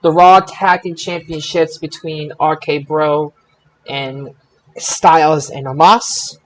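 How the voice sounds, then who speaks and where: Male podcast host, slightly high voice, informal and halting sports recap delivery. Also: steady tone, low-quality recording. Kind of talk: casual wrestling results chat.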